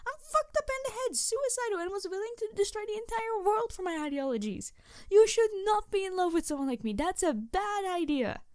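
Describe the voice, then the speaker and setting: A woman playing a snarky, passionate supervillain who is secretly tender, in a halting, sardonic ASMR audio roleplay. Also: very high-pitched, dry recording, studio quality, pitched above the performer's own voice. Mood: shocked, disbelieving. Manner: halting, sighing, emotionally candid, sardonic.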